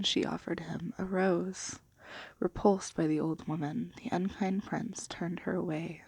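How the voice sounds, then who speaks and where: A woman speaking in a gentle, unhurried, hushed and breathy ASMR style, soft-spoken and half whispered, as for bedtime stories. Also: lowish voice, no room sound. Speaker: ASMR video host and storybook reader.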